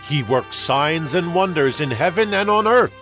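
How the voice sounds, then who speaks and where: An exalted king played by a man declaims a royal decree in a scripture drama. The voice sits fairly high and carries regal ceremony. Music plays clearly beneath him. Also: lively intonation, moderate pace, lightly acted. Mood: exalted, reverent. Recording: music bed behind the voice.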